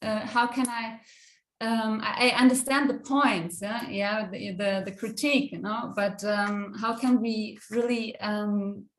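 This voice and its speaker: A woman from Europe speaking as a conference panelist in a spontaneous academic discussion, halting and searching for words. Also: a little high, steady tone. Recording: echoey room sound.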